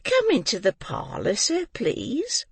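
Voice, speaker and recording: A man's voice: very high voice, wildly swooping pitch, takes it slowly, gravelly, husky, no room sound, pitched above the performer's own voice, heavily acted. A man voicing a fawning, gushing woman who keeps a foster farm, exclamatory and coaxing in a storybook reading. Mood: flustered gushing. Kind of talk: audiobook character reading.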